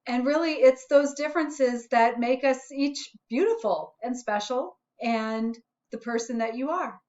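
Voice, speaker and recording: A woman principal speaking to young pupils in a deliberate, simple storybook read-aloud manner. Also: high-pitched, darkish tone, muffled recording. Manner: addresses young children, simple deliberate phrasing.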